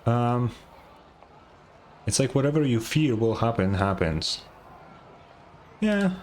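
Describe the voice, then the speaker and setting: A man's voice: mid-range voice, very animated, takes it slowly, darkish tone, slight background noise. A man who is not a native English speaker, giving casual commentary on a game, musing aloud and swearing. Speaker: gaming streamer. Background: game music.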